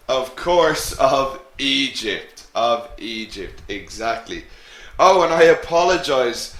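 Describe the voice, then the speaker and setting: Slow-speaking male English teacher. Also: mid-range voice, fairly bright tone, roomy sound. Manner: slow, clear teaching delivery addressing students. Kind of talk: online English lesson.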